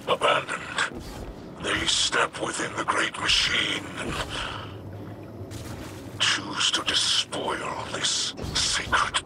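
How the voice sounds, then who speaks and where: An actor playing a brooding, haunted alien warrior captain in a video game, solemn and formal with a gravelly, breathy rasp. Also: male voice, medium pitch, heavy background noise, natural voice. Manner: solemn, formal, halting delivery. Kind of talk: dramatic video game acting.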